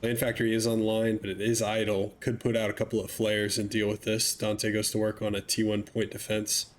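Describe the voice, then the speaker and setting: A young man with a somewhat low voice calls a strategy match, sounding stuffed up and a little nasal. He talks in a relaxed, chatty way and drops wry asides. A faint hum runs under the recording. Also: brisk. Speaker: game caster. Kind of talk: video game commentary.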